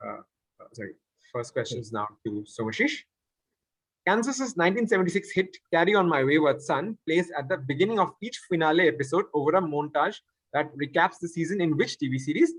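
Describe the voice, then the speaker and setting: A male quiz master reads questions aloud in a somewhat high voice. It has a husky edge. The sound is dry, with little room around it. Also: lively intonation, brisk, no room sound, rough recording. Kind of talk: reading quiz questions aloud, with spoken asides.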